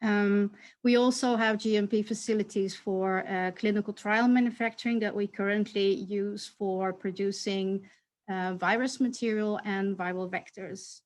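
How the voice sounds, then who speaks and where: A woman executive giving a measured, explanatory presentation in a webinar. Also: mid-range voice, fairly even intonation, a little mellow. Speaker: company executive.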